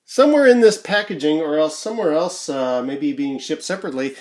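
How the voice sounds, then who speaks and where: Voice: mid-range voice, lively intonation, fairly quick, darkish tone. A vlogger from Canada chatting casually through an unboxing, rambling and hesitant as they think aloud, recorded on an amateur home microphone. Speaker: YouTuber.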